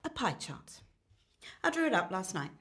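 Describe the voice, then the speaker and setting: A woman's voice: medium pitch, sing-song, moderate pace, fairly bright tone. A woman playing an exasperated, worried wife, reproachful and insistent, in a radio comedy. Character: exasperated, worried wife delivering firm ultimatums.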